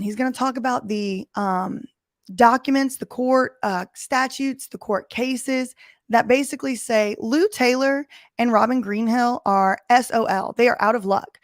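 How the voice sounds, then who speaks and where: A woman lawyer with a hoarse, weakened voice, reading court papers aloud and explaining them emphatically in plain terms. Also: on the high side, dry recording, clean studio sound. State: recovering from lost voice. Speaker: lawyer commentator. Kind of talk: reading and commenting on court documents.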